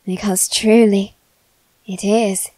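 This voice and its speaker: A silky young male demon, played by a woman, speaks softly and courteously straight to the listener in an ASMR roleplay. The delivery is slow and unhurried, at an average pitch, close to the mic in a dry, dead room. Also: little pitch movement, no echo. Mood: coaxing. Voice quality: soft, measured and courteous.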